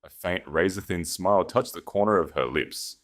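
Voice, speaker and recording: A low-voiced man narrates a dramatic romance story. His delivery is scripted audiobook storytelling. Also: normal speed.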